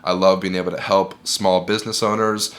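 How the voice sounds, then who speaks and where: A man's voice: low-pitched, little pitch movement, brisk, a bit bright. A man who is sick and congested, giving earnest, encouraging business advice straight to camera and repeating his key points. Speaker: bookkeeping business owner and video host.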